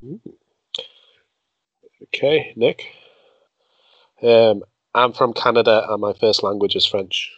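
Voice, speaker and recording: A man's voice: on the low side, takes it slowly, long pauses, dry recording, lo-fi sound. A man hosting a casual quiz game, reading clues aloud in first person and laughing often. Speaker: quiz host.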